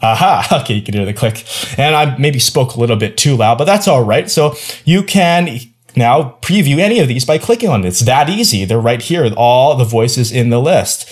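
A young man giving a casual, playful screencast tutorial with animated, slangy asides. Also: mid-range voice, normal speed. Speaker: tutorial presenter. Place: small indoor room at home.

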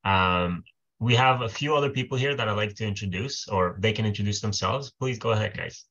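A young man from Israel presents a webinar in a relaxed, friendly way, pausing on fillers. His voice sits very low, and the webcam audio sounds a little dull. It is a video-call recording. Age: 20s. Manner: friendly, loose, many fillers. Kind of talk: casual presentation. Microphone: webcam mic on video call.

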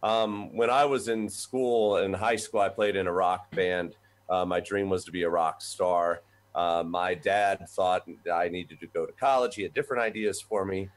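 A man in his forties, a voice professor from the United States, telling his background in a relaxed, rambling way over a video call from a small office. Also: deep voice, moderate pace. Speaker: guest, voice professor. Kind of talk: conversational storytelling. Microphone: webcam on video call.

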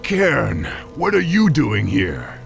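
An actor playing a young orc war chief in a video game, calm, firm and commanding. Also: male voice, creaky, faint music behind, full character voice. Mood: wary. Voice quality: very deep. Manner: measured, firm.

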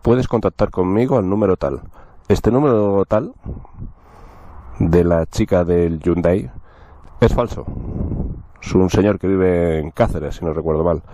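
A man's voice: deep voice, unhurried, long pauses, warm tone. A man talking casually to the camera outdoors, wearing a motorcycle helmet, telling his story with wry asides to viewers. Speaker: motovlogger. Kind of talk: casual vlog talk to camera.

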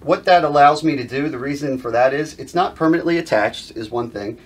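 A man's voice: medium pitch, a little fast. Casual man explaining DIY gear. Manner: conversational, informal, explanatory. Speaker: host of a DIY outdoor gear channel. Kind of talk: casual hands-on explainer to camera. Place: furnished indoor gear room at home.

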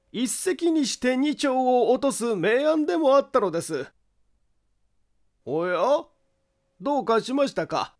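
A man voicing a shrewd, formally polite detective in an audiobook, pressing his accusation in a theatrical, deliberate manner. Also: sits high. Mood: pressing, controlled. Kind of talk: dramatic audiobook character acting.

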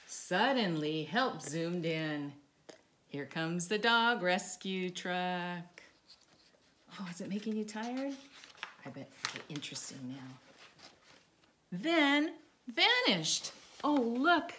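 Middle-aged woman, children's storytime reader, lively swooping pitch, unhurried, coaxing questions, noisy home recording. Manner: animated, sing-song, coaxing with questions. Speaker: therapy dog owner reading a storybook aloud. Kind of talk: children's read-aloud, talking to a dog and young viewers. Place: living room by a stone fireplace, indoors.

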